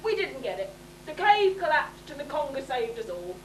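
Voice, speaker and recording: A woman's voice: high voice, very animated, normal speed. A woman playing a cheeky, brave young hero in an amateur stage pantomime, tossing out quips. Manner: cheeky, defiant, quipping. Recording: echoey hall, distant mic, noise and hum.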